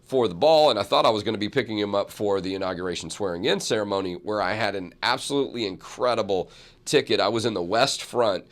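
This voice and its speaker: A man, hoarse from a cold, hosting a talk show and rambling through asides and self-corrections. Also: fairly low pitch, fast. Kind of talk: casual talk show chat and monologue.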